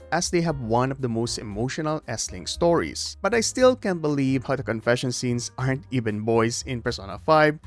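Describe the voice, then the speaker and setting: A man delivering scripted video essay commentary as host, with emphatic phrasing and jokey asides. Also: medium pitch.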